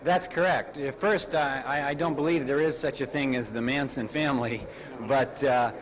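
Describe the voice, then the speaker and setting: Male American defense attorney in a press interview. Formal, cautious, halting delivery, mid-range voice. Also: mid-range voice, low-quality recording. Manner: hesitant, careful, formal wording.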